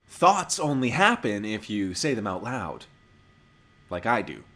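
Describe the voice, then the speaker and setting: Sarcastic male commentator with animated pitch. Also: measured pace, very noisy. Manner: mocking, wisecracking. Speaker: comedic reviewer narrating an episode summary. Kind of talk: sarcastic humorous scripted commentary. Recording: music behind the voice. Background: music.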